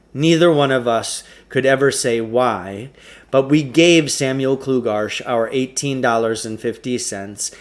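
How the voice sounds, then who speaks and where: A man reading a children's novel aloud as a storybook narrator. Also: mid-range voice.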